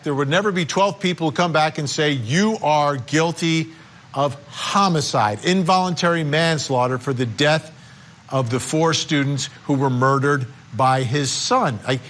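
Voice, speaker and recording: A man hosting a live legal news interview, speaking in emphatic, deliberate phrases. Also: fairly high pitch, fairly bright tone. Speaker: TV news host.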